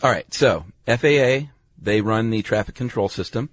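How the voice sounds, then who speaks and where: A man's voice: lowish voice, lively intonation, moderate pace, dry recording. A man co-hosting a podcast, explaining step by step in a colloquial, laid-back, slightly hesitant way. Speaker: podcast co-host. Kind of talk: casual explanatory chat.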